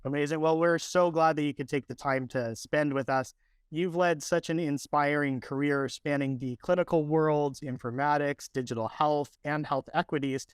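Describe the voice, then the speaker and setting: A man's voice: on the high side, steady tone, moderate pace, clear, resonant tone, dry recording. A man hosting a podcast, reading a polished, upbeat introduction and then asking his guest welcoming questions. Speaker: podcast host.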